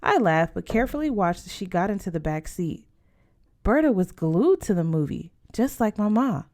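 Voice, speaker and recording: A woman's voice: mid-range voice, very animated, normal speed, darkish tone. A woman narrating a first-person story as an audiobook reader, in a scripted storytelling style.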